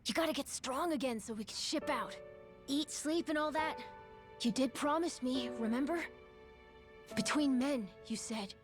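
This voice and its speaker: A woman's voice: high voice, moderate pace, music in the background, natural voice. A woman voicing an earnest, eager young boy with a stubborn streak in a video game. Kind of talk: English video game dub acting.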